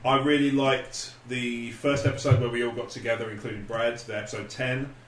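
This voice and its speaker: Guy hosting a casual podcast chat, bright voice, roomy echo n a bit of noise. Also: medium pitch, level tone, fairly quick. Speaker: podcast host.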